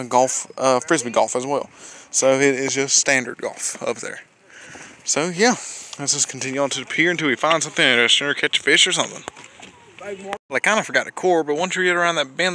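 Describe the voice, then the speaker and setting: Bright-voiced rambling vlogger man. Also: medium pitch. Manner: rambling, corrects himself often. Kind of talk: casual walking tour narration. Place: outdoors at a lake park boardwalk.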